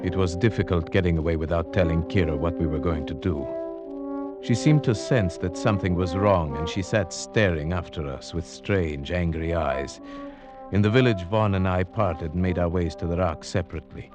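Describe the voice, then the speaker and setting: A man acting an earnest, haunted narrator-hero in a vintage radio suspense drama, with measured, grave, theatrical delivery. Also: sits low, loud music behind the voice, close to an everyday voice. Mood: grave, suspenseful. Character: earnest male narrator-hero haunted by dread, foreign gentleman.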